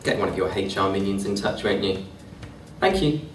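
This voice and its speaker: A man acting a smug, low-voiced young job applicant. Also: animated, normal speed. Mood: curt, entitled. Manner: arrogant, curt, dismissive. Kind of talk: amateur acted sketch. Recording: echoey, distant amateur video with faint music.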